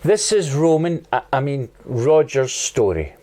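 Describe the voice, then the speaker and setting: A man narrating in a deadpan, newsreader-like manner as host of a comedy show. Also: medium pitch, measured pace, over loud music. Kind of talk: mock news narration.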